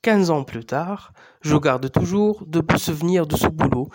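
A man in his thirties, a native Arabic speaker, hosting a podcast with measured, scripted narration. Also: on the high side, expressive, darkish tone. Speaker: podcast host and Arabic teacher.

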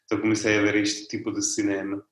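A man from Italy with an Italian accent, a festival director speaking Portuguese as a second language in a relaxed, hesitant interview. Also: lowish voice, fast talker, reverberant. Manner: relaxed, hesitant, searching for words. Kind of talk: interview guest.

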